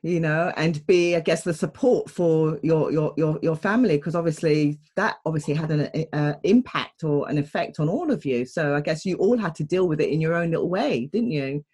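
A woman's voice: low voice, moderate pace, hardly any pauses, darkish tone, breathy edge. A woman hosting an interview show, speaking in a chatty, effusive and encouraging conversational way.

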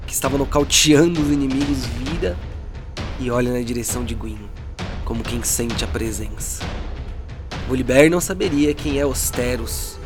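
A man with a Brazilian accent working as game master, narrating a tabletop role-playing story in a dramatic, deliberate way with pauses. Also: medium pitch. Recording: music bed behind the voice. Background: background music.

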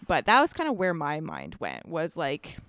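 Young woman, podcast guest. Lively, expressive intonation, conversational and wandering, dry close sound. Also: low-pitched, normal speed, no echo. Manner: animated, rambling, frequent "like". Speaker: podcast guest discussing a video game. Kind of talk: casual chat.

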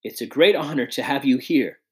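A man's voice: medium pitch, moderate pace, darkish tone, natural voice. A man voicing a shy, earnest young inventor who speaks modestly.

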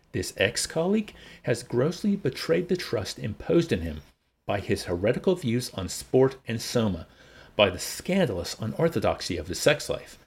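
A man's voice: medium pitch, gravelly, darkish tone, slightly rough, full character voice. A man voicing a pompous, accusing director in a declamatory, oratorical manner for an audiobook. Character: pompous, accusing male director making public denunciations. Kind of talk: dramatised audiobook reading.

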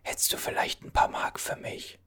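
A performer whispering as a bewildered time traveler from an older era, puzzling over strange objects in a halting voice full of restarts. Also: a bit bright, lightly acted. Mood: puzzled. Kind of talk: whispered ASMR roleplay.

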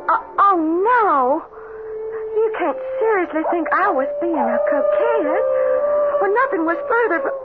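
A woman's voice: very high-pitched, expressive, unhurried. An actress playing a spirited, proper married woman of the Old West, emotive and earnest, in an old-time radio drama. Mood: earnest, embarrassed. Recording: noisy, narrow old radio broadcast.

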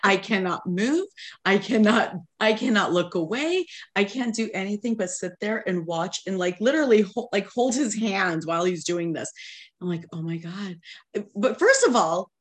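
A woman's voice: mid-range voice, lively intonation, normal speed. A woman in her forties, English not her first language, co-hosting a podcast and venting candidly, swearing freely. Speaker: podcast co-host. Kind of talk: casual chat.

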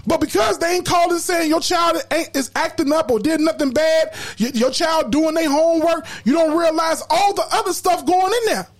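A woman's voice: very high-pitched, normal speed, few pauses. A woman hosting a podcast in casual, colloquial talk, emphatic and preachy, repeating words when fired up. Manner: colloquial slang. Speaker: podcast host.

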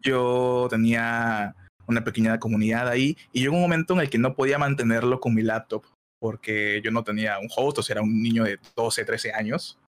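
A young man in his early twenties speaks with a Peruvian accent that has picked up some Mexican flavor. He talks in a relaxed, slangy way and laughs easily, like a guest on a casual stream chat. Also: mid-range voice, a little fast. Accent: Peruvian Spanish with Mexican touches. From Peru. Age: 20s. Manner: laid-back, laughs often, uses slang. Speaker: guest, illustrator.

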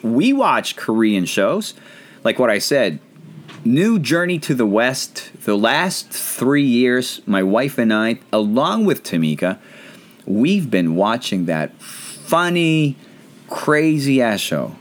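Animated rambling Filipino man. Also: medium pitch. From Philippines. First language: not English. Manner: rambling, self-deprecating, animated. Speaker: podcast host. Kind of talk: casual chat.

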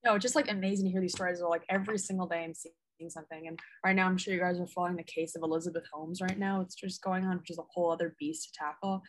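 A woman's voice: lowish voice, fast, hardly any pauses, a little hiss or hum, low-quality recording. A woman interviewer talking casually and animatedly, often saying "like" as she works through her points. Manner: animated, full of "like" fillers.

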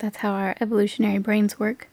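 A woman's voice: mid-range voice, little pitch movement, fast, darkish tone, slight background noise. A woman acting as game master, narrating a tabletop roleplay in a casual, playful, conversational way.

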